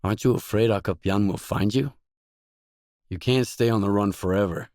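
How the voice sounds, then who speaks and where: A wary stalker for hire from a video game, voiced by a man, speaking in a lowish male voice. He is blunt and terse, keeping things dry and matter-of-fact. The recording is very dry, with no room sound around the words. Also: normal speed, a little mellow, dry recording, natural voice. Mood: confrontational. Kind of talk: scripted game voice lines.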